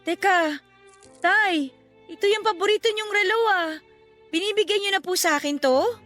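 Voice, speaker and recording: Bright, high-voiced cheeky daughter. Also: female voice, moderate pace. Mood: playful, affectionate. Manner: teasing, jokey. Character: cheeky, loving daughter, teasing her parents. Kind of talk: Tagalog radio drama acting. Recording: music behind the voice.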